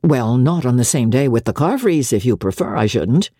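A woman voicing an edgy, perverse young gentleman husband with dry retorts, in a dramatic audiobook reading. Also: extremely low pitch, lively intonation, normal speed, breathy edge, no room sound, heavily acted. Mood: conceding.

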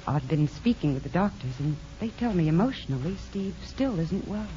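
An actress in a 1950s radio drama playing a frank, affectionate married sister with a tactful manner. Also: female voice, fairly low pitch, animated, a little fast, warm tone, natural voice. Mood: tactful, concerned. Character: frank, teasing married sister, mother of twins. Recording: muffled, noisy old radio broadcast.

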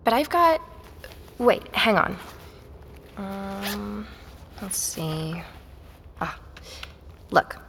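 An actress playing a curious, quietly persistent young woman visitor, speaking tentatively in naturalistic audio drama dialogue. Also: medium pitch, sing-song, very slow, long pauses, heavy background noise, exaggerated voice. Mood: tentative, insistent. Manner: tentative, inquisitive. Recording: long hall-like reverb.

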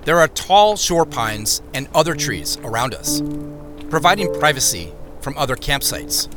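An actor playing a reflective, quietly content man, narrating his own journey in an understated, confiding first-person drama style. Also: fairly high pitch, big pitch swings, unhurried. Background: music bed.

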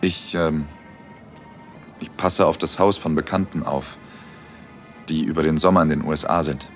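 An actor playing a dreamy, bashful young man, narrating an intimate audio drama in the first person in a soft-spoken way. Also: very deep voice, steady tone, moderate pace, faint music behind. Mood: bashful, smitten. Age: young adult.

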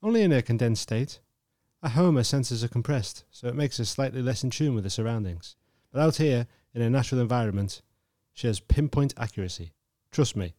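A woman voicing a calm young man who speaks softly and reassuringly in a lowered voice. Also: a little fast, no echo, clean studio sound. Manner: soft, low, soothing.

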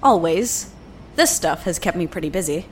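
A woman's voice: fairly low pitch, expressive, normal speed, a little hiss or hum, natural voice. A woman acting an overworked, defensive engineer in a science fiction audio drama. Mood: focused, weary.

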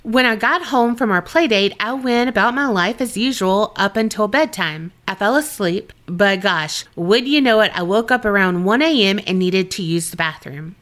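A woman co-hosting a podcast in an easygoing way, reacting with quick laughs and reading listener letters in a steady voice. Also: medium pitch. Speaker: podcast co-host. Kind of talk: casual chat and reading listener stories.

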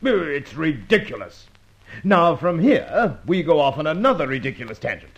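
A man's voice: on the high side, very animated, normal speed, a bit bright. A man playing a blustering, scolding police superintendent in an old radio drama, sarcastic and brusque. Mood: exasperated. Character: blustering, irate Scotland Yard police superintendent. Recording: dull old radio recording.

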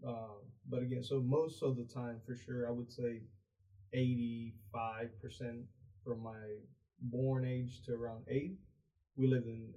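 A man's voice: medium pitch, gravelly, warm tone, rough recording. A young man raised in Mexico, a podcast guest chatting casually, rambling with many fillers and restarts. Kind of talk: casual interview chat.

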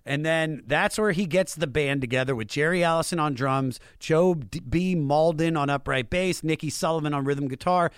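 A man's voice: on the high side, moderate pace, few pauses, clean tone, dry recording, clean studio sound. An American man hosting an enthusiastic, conversational music show, slangy and emphatic, repeating phrases for stress.

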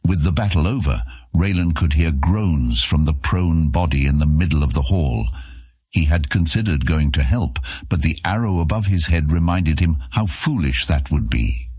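A man narrating an epic fantasy audiobook in a dramatic, measured, suspenseful storytelling style. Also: a bass voice, lively intonation, a little mellow, breathy edge, no room sound, studio quality.

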